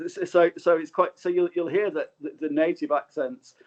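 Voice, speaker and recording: A man's voice: a little high, fairly even intonation, normal speed, a little mellow. A man speaking as a guest film director in a casual interview, hesitant and often correcting himself, on slightly dull remote audio.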